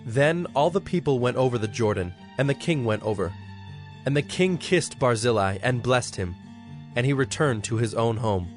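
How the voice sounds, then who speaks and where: Male scripture narrator over soft music. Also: mid-range voice, lively intonation, normal speed.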